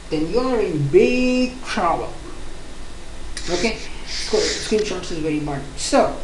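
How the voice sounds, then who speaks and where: Indian lady teaching a software class, talks fast and repeats herself, kinda roomy echo on the mic. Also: medium pitch, slight background noise. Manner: repetitive, restarts often, fast-paced explaining. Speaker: software testing instructor. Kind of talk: online course lecture. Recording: echoey room.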